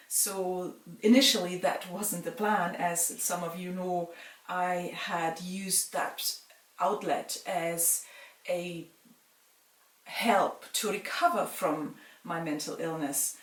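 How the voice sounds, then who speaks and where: A middle-aged woman from Germany calmly answers viewer questions in a casual vlog, pausing with frequent ums. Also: on the low side, distant mic. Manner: calm, measured, with frequent ums. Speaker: vlogger. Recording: roomy sound from away from the mic.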